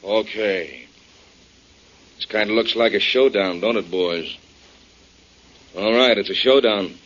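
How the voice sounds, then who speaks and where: A man's voice: fairly low pitch, slow pace, fairly bright tone. An actor playing a defiant, sarcastic American tough guy in a 1940s radio drama, slangy, on a muffled old recording. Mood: defiant, needling. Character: defiant, needling tough guy refusing the police.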